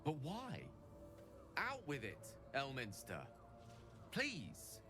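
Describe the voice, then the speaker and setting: A man's voice: medium pitch, huge pitch swings, drawn-out words, music in the background, heavily acted. An actor playing an impatient, earnest younger man in a fantasy game, speaking with exasperated drama. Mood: confused, impatient. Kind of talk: fantasy game dialogue acting.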